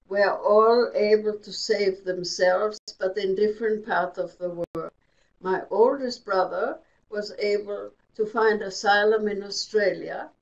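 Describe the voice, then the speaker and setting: An older woman whose first language is German tells her story slowly and plainly, with long unhurried phrases. Her voice sits at a middle pitch. The sound is dull and lo-fi, as if picked up by a webcam across a room. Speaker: Holocaust survivor guest. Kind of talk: slow personal recollection. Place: indoor exhibit room. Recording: dull lo-fi webinar audio.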